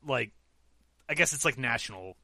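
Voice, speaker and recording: A man hosting a casual podcast chat, energetic and laughing easily, with lots of fillers. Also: mid-range voice, normal speed, creaky, no echo. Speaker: podcast host.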